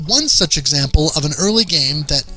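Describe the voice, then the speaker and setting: Bright-voiced man narrating game reviews over music. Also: fairly high pitch, expressive, fairly quick. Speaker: video game review host. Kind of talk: scripted narration.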